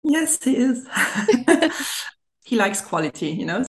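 A woman's voice: fairly high pitch, sing-song, takes it slowly. A woman in her thirties from Germany with a German accent, chatting casually as a podcast guest. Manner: chatty, giggles often as she talks. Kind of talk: casual chat. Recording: roomy, echoey remote recording.

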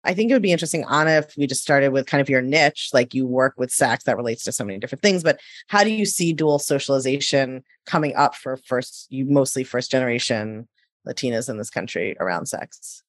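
A woman host with a low, deep voice chats eagerly with her guests. The sound is dry and close, with no room around it. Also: expressive, dry recording. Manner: chatty, enthusiastic, quick asides. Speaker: podcast host. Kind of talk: casual podcast interview.

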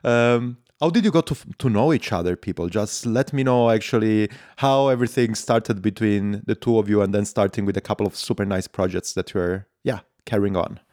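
A man's voice: on the low side, a little fast, few pauses, darkish tone, no echo, clean studio sound. A man with an Italian accent and native Italian, hosting a casual interview in an effusive, informal way. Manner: effusive, informal, frequent intensifiers. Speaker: podcast host, interviewer.

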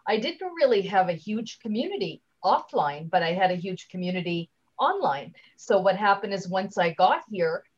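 A woman giving a conversational interview as a guest speaker, chatty and halting, often leaving sentences unfinished, on slightly dull audio. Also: mid-range voice, very animated, slightly rough. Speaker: guest, LinkedIn trainer and speaker.

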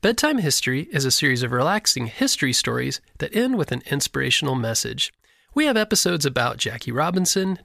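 A man's voice: mid-range voice, creaky, sharp tone, dry recording, studio quality. A man who makes a kids' podcast, reading a scripted promo aimed at parents. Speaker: kids' podcast creator promoting his show.

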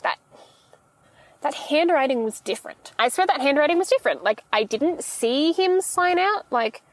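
A woman's voice: high-pitched, expressive, moderate pace, no room sound. A woman playing an anxious, over-polite young grocery store employee in an audio drama, rambling hesitantly and stammering as she corrects herself. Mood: uneasy, rambling. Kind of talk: naturalistic audio fiction monologue, like a voicemail.

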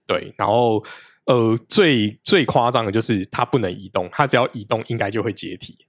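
A man's voice: on the low side, normal speed, no echo, studio quality. A man, explaining things at length as a podcast guest, restarting his sentences often. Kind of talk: casual conversational interview.

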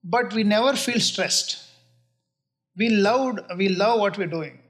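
Indian man in his forties, fairly high voice, earnest and unhurried, leans on "uh" and "um" between thoughts. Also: fairly bright tone. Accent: Indian English. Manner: earnest, measured, pauses with many uh and um fillers. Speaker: real estate investor guest. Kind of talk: casual interview answers.

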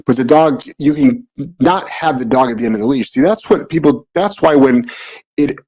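A man's voice: medium pitch, normal speed, a little mellow, poor audio. A man from the Midwest hosting a casual live dog-training Q&A, speaking bluntly and plainly, with some swearing. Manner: blunt, profane, matter-of-fact.

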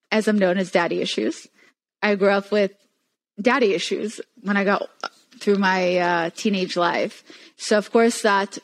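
A woman's voice: mid-range voice, low-quality recording. A young woman whose first language is Hebrew, speaking as a podcast guest and telling her story in a candid, earnest way. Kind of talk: casual podcast conversation.

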